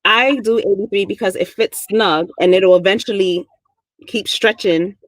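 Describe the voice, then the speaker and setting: A woman's voice: medium pitch, normal speed, no room sound, poor audio. Sassy Brooklyn woman, dry close sound. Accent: New York. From Brooklyn, New York. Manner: sassy, chatty, laughs often. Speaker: knitting machine mentor. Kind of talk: casual livestream chat.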